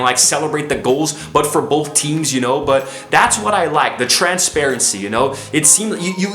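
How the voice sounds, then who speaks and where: A man's voice: fairly high pitch, a bit bright, echoey room. A man vlogging to camera about his views, chatty and tired, often saying "you know", from Brazil. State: tired and low on energy. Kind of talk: casual opinion vlog to camera. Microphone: camera mic, filming self.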